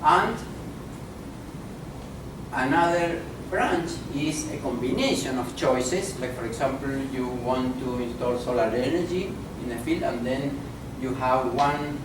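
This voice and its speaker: A male professor lectures at a conference, his coloring every word. He speaks in a deliberate, halting way, often pausing on fillers. The mic is far off in a roomy, echoing space with a steady noisy hum underneath. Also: mid-range voice. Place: conference room. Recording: echoey room from far off, noisy.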